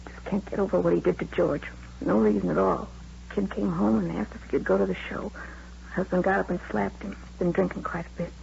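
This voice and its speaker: Hard-bitten, bitter American crook's wife, middle-aged, clipped, mellow-dark timbre, old radio drama with dull sound. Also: female voice, mid-range voice, steady tone, a little fast, gravelly. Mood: hurt, indignant. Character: bitter, weary late-thirties wife of a car thief. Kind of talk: 1950s radio drama acting. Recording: dull, slightly noisy old radio recording.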